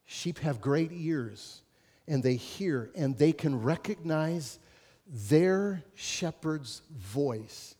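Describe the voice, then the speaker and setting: A man preaching to his congregation in a somewhat high voice. He presses his points with rising emphasis and then settles into calm, explanatory teaching. He addresses the listeners directly as friends. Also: very slow. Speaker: pastor. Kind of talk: sermon.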